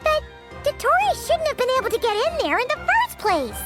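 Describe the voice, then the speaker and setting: A squeaky excitable girl companion. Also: moderate pace, over loud music, exaggerated voice. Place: studio.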